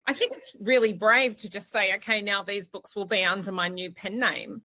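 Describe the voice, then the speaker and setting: A woman's voice: mid-range voice, normal speed, pushed and bright. A woman hosting a casual interview, chatty and informal as she reacts and asks questions. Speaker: podcast interviewer.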